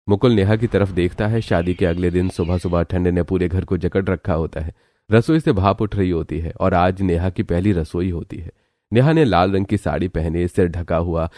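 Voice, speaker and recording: A man's voice: deep voice, a little mellow, no echo, clean studio sound. A man narrating a scripted cartoon story as its storyteller.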